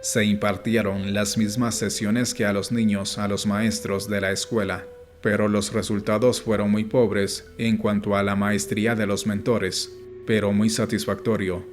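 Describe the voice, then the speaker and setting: A man reading an audiobook in a measured, explanatory way, as scripted narration. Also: fairly low pitch. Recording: music playing behind the voice. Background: background music.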